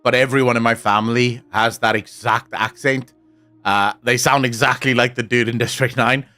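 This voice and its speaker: A man from South Africa with a soft South African English accent, Afrikaans his first language, chatting casually on a stream and answering chat. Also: medium pitch, fairly even intonation, moderate pace, soft background music. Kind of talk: casual live-stream chat, reading comments.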